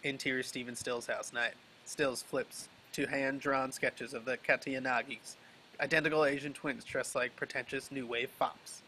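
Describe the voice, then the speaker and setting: A man's voice: medium pitch, fairly even intonation, normal speed, pushed and bright, slight background noise, low-quality recording. A man reading stage directions as scripted narration for a dramatic table read over a video call.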